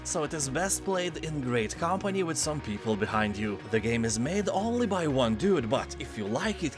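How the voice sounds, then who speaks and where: A man hosting a game review channel, reading a scripted voice-over with sarcastic joking asides. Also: on the high side. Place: voice-over, not on location. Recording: voice-over with loud background music.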